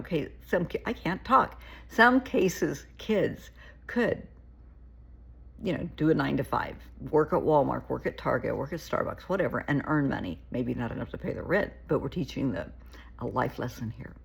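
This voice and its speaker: Gravelly, husky-voiced woman therapist. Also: low-pitched. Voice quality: gravelly, shaky voice. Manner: earnest, pleading, repeats herself. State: getting a cold. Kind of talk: casual advice to camera.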